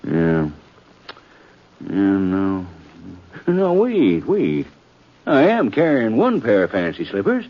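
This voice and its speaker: An actor playing a kindly, fretful wagon driver in a radio western, halting and muttering in a husky, rural American voice. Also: male voice, fairly low pitch, huge pitch swings, exaggerated voice. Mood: reluctant, pondering. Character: kindly, fretful frontier wagon driver, good-hearted and folksy. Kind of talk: 1950s radio western acting. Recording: dull old radio recording.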